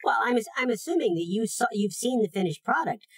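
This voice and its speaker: A woman's voice: on the high side, lively intonation, fast, husky. A woman interviewing someone, pressing her questions in a persistent, politely challenging way.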